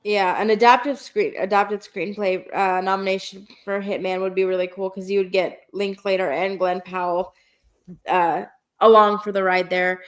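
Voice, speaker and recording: A woman's voice: medium pitch, fairly even intonation, moderate pace. A woman hosting a casual film podcast, talking in an easy chat with many fillers, her voice nasal from a cold. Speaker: podcast host.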